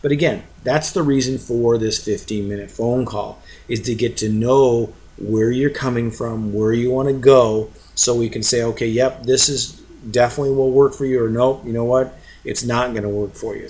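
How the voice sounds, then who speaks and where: Conversational man explaining to prospective investors. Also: mid-range voice. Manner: conversational, frequent rhetorical check-ins. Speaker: real estate investment presenter.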